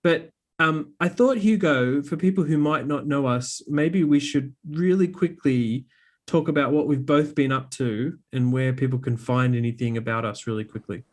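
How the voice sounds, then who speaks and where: A man's voice: medium pitch, moderate pace, darkish tone. A man co-hosting a podcast in an upbeat, informal, chatty way. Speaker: podcast host. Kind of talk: casual podcast chat.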